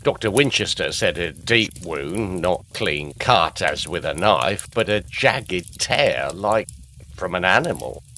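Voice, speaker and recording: A man's voice: deep voice, animated, takes it slowly, pushed and bright, a little hiss or hum, heavily acted. An actor playing a courteous, matter-of-fact male Scotland Yard detective in a gothic audio drama.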